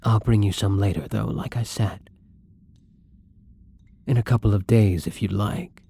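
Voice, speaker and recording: A man playing a gentle, lonely, tormented vampire in an intimate ASMR roleplay, speaking softly and hesitantly in a breathy, brooding way, often near a whisper. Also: lowish voice, exaggerated voice. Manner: slow, hesitant, brooding, tender. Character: melancholy young male vampire, gentle, lonely, tormented, once human.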